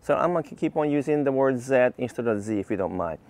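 A man's voice: mid-range voice, lively intonation, fast talker, a little mellow. A man in his fifties from Japan, an engineer reviewing cars to camera in an enthusiastic, explanatory, casual way. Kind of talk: car review to camera, semi-scripted casual talk. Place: outdoors on a quiet leafy residential street. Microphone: clip-on lavalier mic on the chest.

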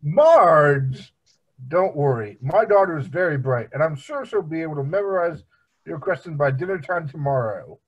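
Dim, childish middle-aged cartoon husband played by a man, husky and swinging in pitch. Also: lively intonation, moderate pace, poor audio. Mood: nervous. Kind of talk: amateur cartoon table read. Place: home room, on a video call.

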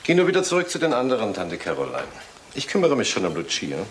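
Jealous husband in radio play, fast, swinging pitch, heated, hissy tape. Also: male voice, huge pitch swings. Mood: irritated. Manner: clipped, heated, accusing. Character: bitter, jealous husband, resentful grown son.

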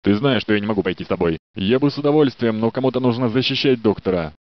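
A man's voice: medium pitch, fast, no room sound, close to an everyday voice. An actor playing a polite, dutiful male security guard in a game dub, courteous and a little flirtatious. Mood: friendly. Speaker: security guard in a research facility. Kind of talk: Russian game dub voice lines. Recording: dry, dull studio dub.

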